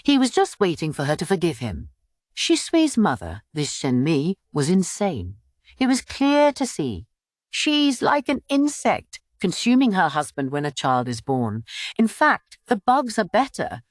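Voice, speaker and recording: A woman reading a novel aloud as an audiobook narrator, in an expressive scripted storytelling style. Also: fairly low pitch, a little slow, dry recording, studio quality.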